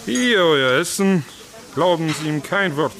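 An actor playing a hearty, teasing restaurant owner in a radio drama, effusive and jovial. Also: male voice, on the high side, animated, measured pace, a bit bright.

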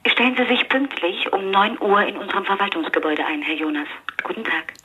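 A woman playing a brisk, formal company secretary in a radio play, coolly polite, through a telephone effect. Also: medium pitch, lively intonation, bright, forceful tone. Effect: telephone filter. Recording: dull, noisy telephone line.

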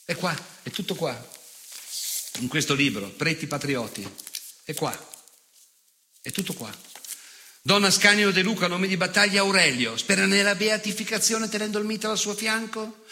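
An actor playing a cruel, bitter, nostalgic old fascist in a theatrical monologue, speaking with sardonic, obsessive emphasis. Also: male voice, high-pitched, pushed and bright, full character voice. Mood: obsessive insistence. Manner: emphatic, obsessive, sardonic recitation with repeated words.

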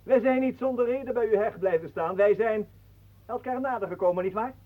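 An actor playing a pompous, obsequious, scheming artist in a radio play, speaking in a mannered, formal way. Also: male voice, sits high, a little fast, natural voice. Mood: unctuous, formal. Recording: muffled, narrow-band old radio recording.

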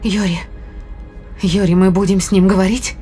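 A woman's voice: medium pitch, flat delivery, fairly quick, mellow, faint music behind, close to an everyday voice. A woman playing an anxious, deferential spaceship crew member in an audio drama, hesitant and questioning. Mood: uneasy.

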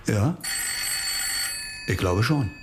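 An actor playing a grim, hard-bitten police investigator in a radio crime drama, speaking insistently and probingly, with music behind him. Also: male voice, fairly low pitch, animated, very slow. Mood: cold, resolute.

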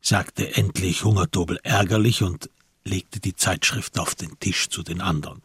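A man reads scripted literary narration for a radio crime drama. His voice is low and very husky, recorded close and dry, and he tells the story in a measured way. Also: fairly even intonation, fairly bright tone, no echo.

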